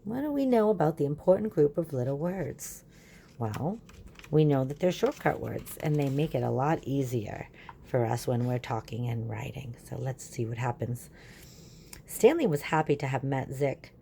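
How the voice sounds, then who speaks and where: A woman's voice: a bass voice, animated, moderate pace, creaky, warm tone, slight background noise. A woman giving a children's lesson and reading a storybook aloud, instructive and asking her listeners questions. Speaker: teacher reading a picture book aloud.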